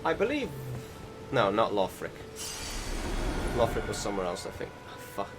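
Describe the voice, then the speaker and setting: A man's voice: fairly low pitch, huge pitch swings, moderate pace. A man giving casual voice-over commentary on video gameplay, rambling and questioning himself with some mild swearing. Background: music.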